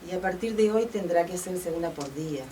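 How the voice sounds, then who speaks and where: A woman's voice: lowish voice, a little fast, mellow, natural voice. A woman acting a matter-of-fact hospital nurse in a radio drama. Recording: distant mic, faint room noise.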